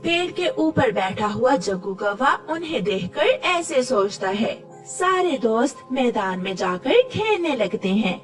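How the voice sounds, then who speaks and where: Female storyteller for kids' cartoon, high animated voice, music beneath. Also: far from the mic. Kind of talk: scripted children's cartoon narration.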